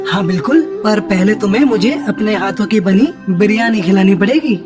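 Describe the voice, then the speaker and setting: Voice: medium pitch, expressive, brisk, natural voice. A performer voicing an encouraging young male bird, a friendly schoolmate in a cartoon story. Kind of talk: Hindi cartoon story acting. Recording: music under the voice.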